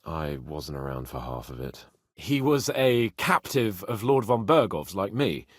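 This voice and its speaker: Casting: a young nobleman in a medieval game, lordly and loyal, lively intonation, rough-edged voice. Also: low voice, a little slow, no echo, studio quality, full character voice. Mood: conversational, wry. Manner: expressive, lordly, exclamatory. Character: spirited young nobleman in medieval Bohemia, haughty yet loyal. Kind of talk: dramatic video game voice acting.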